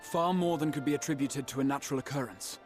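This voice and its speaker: An actor as a brisk, articulate male scholar. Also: mid-range voice, music in the background, close to an everyday voice. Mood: concerned. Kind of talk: video game cutscene acting.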